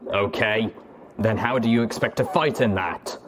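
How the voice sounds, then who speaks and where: A man voicing a stern, gruff male soldier pony in armor, stiff, authoritative and demanding. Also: fairly low pitch, moderate pace, background noise, lightly acted.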